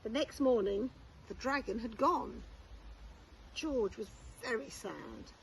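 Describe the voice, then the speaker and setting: A woman reading a children's storybook aloud expressively, like a primary school teacher, with measured, deliberate phrasing. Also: on the high side, lively intonation, creaky, slight background noise, rough recording.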